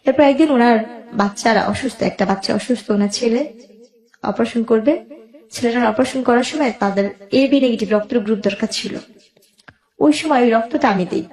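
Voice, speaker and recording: A woman's voice: on the high side, brisk, a little mellow, echoey room, low-quality recording. A woman with a Bangladeshi accent tells her own life story as a guest, emotionally, quoting both sides of conversations as she goes.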